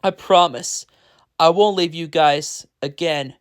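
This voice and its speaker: A storyteller voicing a heroic, emotional father with loud, dramatic declarations. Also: mid-range voice, slow pace, smooth, no echo, studio quality, pitched above the performer's own voice, natural voice. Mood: tender.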